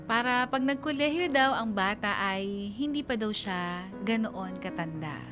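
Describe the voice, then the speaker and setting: A woman's voice: medium pitch, lively intonation, a little slow, music in the background, close to an everyday voice. An actress playing a wistful young woman confiding her love story in first-person radio drama narration. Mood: wistful, reflective.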